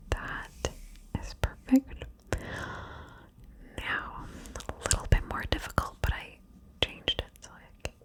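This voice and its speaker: A woman speaks almost entirely in a hushed whisper, taking her time over each phrase. Her voice sits a little high, and she gently coaxes and praises the listener as she guides them through simple word puzzles. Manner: slow soft whisper, coaxing and encouraging. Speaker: ASMR host guiding the listener. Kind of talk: whispered ASMR word-game session.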